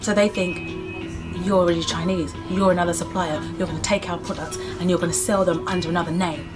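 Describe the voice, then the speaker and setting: Young british woman, chatty and lively, music playing behind. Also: on the low side, fast talker. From Britain. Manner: chatty, animated, acts out quoted voices. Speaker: business owner and English teacher. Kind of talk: casual sit-down interview.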